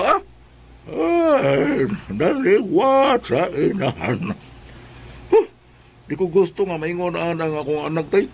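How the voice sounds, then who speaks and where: A man acting a henpecked Cebuano dad with a high, husky voice that leaps about. He sounds exasperated and pleading, in comic storytelling over faint music. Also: heavily acted. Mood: grumbling. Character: henpecked, excitable Cebuano father pacifying his fierce wife. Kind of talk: comedic first-person storytelling and skit acting.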